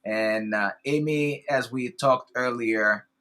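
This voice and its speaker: A man from Haiti with a Haitian accent, hosting a casual interview in an eager, halting way, with many restarts. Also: mid-range voice, pushed and bright. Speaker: host.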